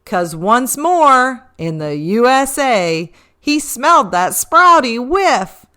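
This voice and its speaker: A woman reading a rhyming children's story expressively, with a measured, singsong rhythm. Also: on the high side, big pitch swings, pushed and bright, slight background noise.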